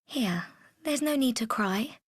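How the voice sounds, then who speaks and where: A woman playing a protective young villager in a video game, soft, soothing and earnest. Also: on the high side, animated, slow pace, breathy edge, dry recording, clean studio sound, exaggerated voice. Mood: gentle, protective. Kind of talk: dramatic game acting.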